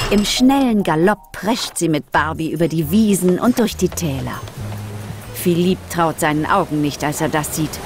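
A female narrator reads a scripted children's story. Her voice sits a little low, with clear music behind it.

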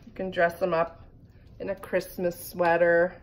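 A middle-aged woman reads a picture book to children at an unhurried pace, in a fairly low voice. She breaks off for casual asides. It is a home phone recording with faint noise behind her. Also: monotone, poor audio. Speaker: read-aloud reader for children. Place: living room by a fireplace. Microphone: phone propped in front of her.

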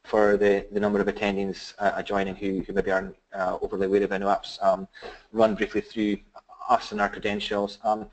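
A middle-aged man with a Scottish accent presenting a business webinar, halting with many fillers and restarts. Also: fairly low pitch, completely flat delivery, a little hiss or hum, rough recording. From Scotland.

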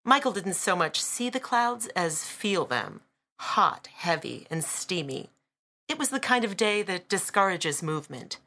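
Bright, dramatic woman audiobook narrator. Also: lowish voice, very animated. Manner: deliberate, with dramatic pauses and emphasis.

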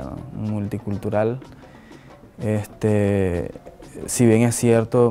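Hesitant man, mellow voice. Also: lowish voice. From Nicaragua. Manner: hesitant, many restarts and tag questions. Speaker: visual artist interviewed. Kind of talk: reflective interview answers. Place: indoor office with flag and shelves. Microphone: fixed camera, seated medium shot.

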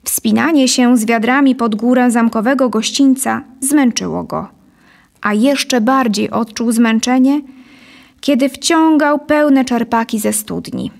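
A woman in her thirties narrating a scripted story deliberately and expressively into a close studio microphone. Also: fairly high pitch, creaky. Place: dim studio set, indoors. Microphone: studio condenser on a boom, close.

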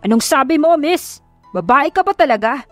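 A woman's voice: high voice, lively intonation, moderate pace, fairly bright tone, no room sound, voice pushed up. A threatening male gangster from a romance audiobook, put on by a woman narrator. The delivery is blunt and confrontational in a raised voice, dry, with faint music underneath.

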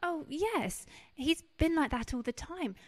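A prim wife in a radio drama, acted by a woman. Her high, very lively voice is brisk and questioning, with a puzzled, reproachful edge. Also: slight background noise. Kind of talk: radio play acting.

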